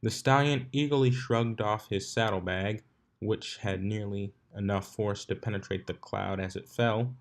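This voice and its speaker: A man's voice: on the low side, steady tone, unhurried. A man reading a story aloud as narrator, sometimes stumbling and rereading his words.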